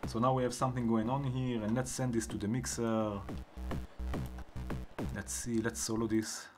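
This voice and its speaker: A man with an Israeli accent, hosting a live stream and thinking aloud as he builds synth patches, with filler words and pauses. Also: medium pitch, level tone. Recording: music playing under the voice.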